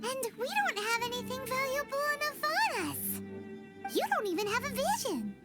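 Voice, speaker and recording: A woman voicing a tiny, excitable, childlike fairy companion in a video game, with a squeaky, blunt way of talking. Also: normal speed, soft background music, exaggerated voice. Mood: doubtful. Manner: squeaky, blunt, refers to herself by name. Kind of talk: cartoonish video game voice acting.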